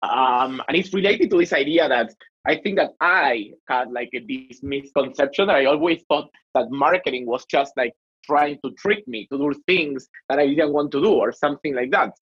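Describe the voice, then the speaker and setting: A man from Argentina speaks with an Argentine accent in a loose, animated interview style, peppering his talk with "like." His voice is a little husky and sits mid-range. The recording sounds dull and lo-fi. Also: mid-range voice, normal speed. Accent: Argentine Spanish. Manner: chatty, animated, with fillers like "like". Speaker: guest, marketing executive.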